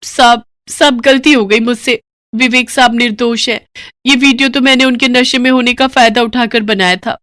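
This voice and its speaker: A woman's voice: on the high side, fairly even intonation, moderate pace, a bit bright, dry recording, studio quality, voice pushed up, close to an everyday voice. A woman voicing a scheming young housemaid in a cartoon story, melodramatic and whining in her delivery. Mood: pleading. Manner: melodramatic, wheedling. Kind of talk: acted Hindi cartoon story dialogue.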